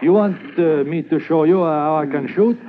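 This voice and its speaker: An actor playing a genial middle-aged French-Canadian Mountie corporal with a French-Canadian accent and broken, evasive English, in a 1950s radio drama. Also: male voice, a little high, moderate pace, a little hiss or hum, natural voice. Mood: joking. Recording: tinny old radio transcription.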